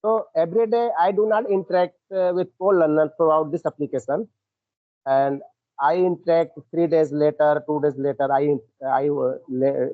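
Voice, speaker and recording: High, halting man on a tinny line. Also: no room sound. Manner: hesitant, halting, with many fillers and restarts. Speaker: English learner. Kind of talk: conversation practice call. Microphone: online call. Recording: tinny phone-like dry line.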